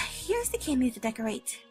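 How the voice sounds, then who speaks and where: A very high, girlish cartoon pony voice, put on by a man, theatrical and unhurried. It swoops widely in pitch over background music. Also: sing-song, bright, forceful tone, heavily acted. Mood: weary bickering. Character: young female cartoon ponies, bickering housemates, excitable or weary. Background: game music.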